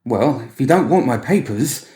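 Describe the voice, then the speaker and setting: A man's voice: mid-range voice, animated, normal speed, dark tone, roomy sound, pitched above the performer's own voice, close to an everyday voice. A man voicing a nervous newspaper courier in a story, speaking in a coaxing, politely careful way. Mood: placating.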